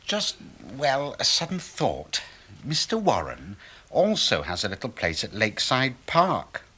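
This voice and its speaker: An actor playing a meek but sly middle-aged British husband and accomplice, hesitant and deferential, in a 1970s radio drama. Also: male voice, medium pitch, wildly swooping pitch, unhurried, pushed and bright, slightly rough, exaggerated voice. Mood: falsely apologetic. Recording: echoey 1970s radio play.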